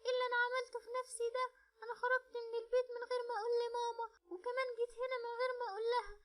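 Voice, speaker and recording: A woman's voice: extremely high pitch, little pitch movement, racing, a bit bright, voice pushed up. A woman voicing a frightened, tearful little girl with an Egyptian accent, pleading and whimpering. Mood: scared. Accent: Egyptian Arabic.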